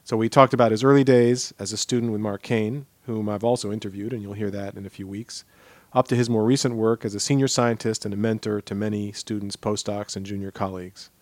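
A man's voice: lowish voice, fairly even intonation, brisk, no echo. A middle-aged man hosting an interview podcast, moving between prepared introduction and conversational questions. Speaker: podcast host interviewing a guest.

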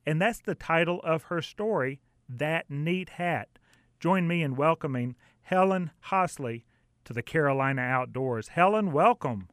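A man's voice: a little high, unhurried, fairly bright tone, dry recording, studio quality. A man hosting a radio show, introducing and interviewing a guest. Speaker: radio host.

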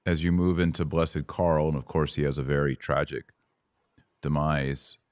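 A man's voice: a bass voice, fairly even intonation, moderate pace, a little mellow, no room sound, clean studio sound. A man hosting a podcast in a conversational interview style. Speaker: podcast host.

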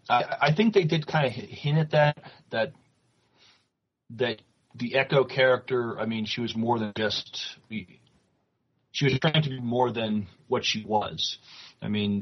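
A man co-hosting a podcast, chatting and reading news in a halting way, stumbling over and repeating words. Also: fairly low pitch. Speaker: podcast co-host. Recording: slightly dull lo-fi audio.

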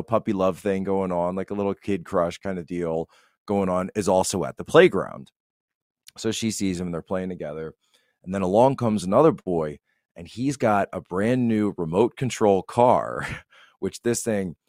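A man with a deep voice talks in a dry, close-sounding recording. He rambles in a casual, opinionated podcast style, telling stories with animation and acting out what people said. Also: darkish tone, no echo.

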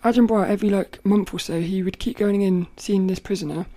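A woman with a rough, cracking voice, hosting a podcast and thinking aloud in a rambling way, often laughing. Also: medium pitch, fairly even intonation, fast talker, dark tone, no room sound. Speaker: podcast host. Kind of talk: casual reflective monologue.

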